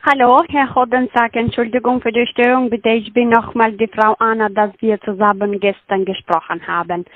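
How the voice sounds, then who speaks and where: A woman's voice: fairly high pitch, steady tone, moderate pace, no room sound. A woman calling as a sales agent over the phone, polite, speaking in broken non-native grammar. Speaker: sales caller. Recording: dry dull phone line.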